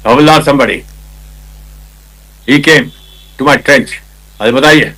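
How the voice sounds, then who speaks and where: An older Indian man, a retired army officer, recounting a battle in conversational detail, with a loud commanding voice, acting out quotes and often clearing his throat. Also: mid-range voice, very animated, normal speed, low-quality recording. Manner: loud commanding voice, vivid retelling with acted quotes. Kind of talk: conversational war storytelling.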